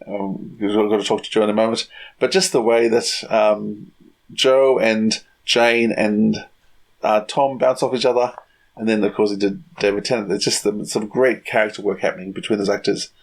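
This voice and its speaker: A man co-hosting a podcast, chatting casually and haltingly as he searches for his words. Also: lowish voice, steady tone, poor audio. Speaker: podcast co-host. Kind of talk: casual review chat.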